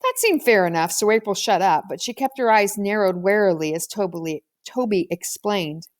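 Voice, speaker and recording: A woman's voice: medium pitch, expressive, normal speed. A woman reading a children's novel aloud as scripted audiobook narration. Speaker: audiobook reader.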